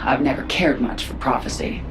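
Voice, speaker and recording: A woman's voice: extremely low pitch, moderate pace, low-quality recording. An actress voicing a confident, sardonic alien queen in a video game, with a dry, casual delivery. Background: loud game music.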